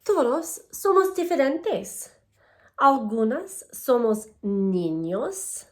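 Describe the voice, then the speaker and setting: Female elementary teacher for a children's read-aloud: higher-pitched voice, very unhurried delivery, simple and encouraging address to young listeners. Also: darkish tone. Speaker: elementary school language teacher.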